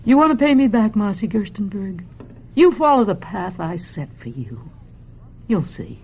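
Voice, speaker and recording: A pushy, ailing middle-aged American mother in a radio play. Her voice is husky and highly animated, nagging and bitter. The recording is dull and muffled. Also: moderate pace. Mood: bitter, driven. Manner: domineering, nagging. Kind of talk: radio drama acting.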